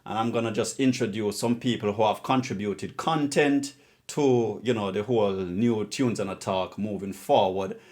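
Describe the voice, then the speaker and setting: A man's voice: on the low side, normal speed, a little mellow. A man hosting a music show, introducing it in a casual, informal and unscripted way.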